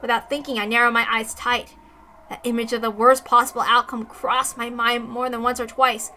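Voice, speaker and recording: Bright, high-voiced woman reading a story aloud. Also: flat delivery, moderate pace, a little hiss or hum. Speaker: reader of a visual novel story. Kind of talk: expressive story read-aloud, first-person narration.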